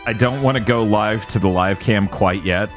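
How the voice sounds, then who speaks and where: A man co-hosting a casual gaming podcast, animated and swearing as he tells stories. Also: lowish voice, moderate pace, soft background music. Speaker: podcast co-host.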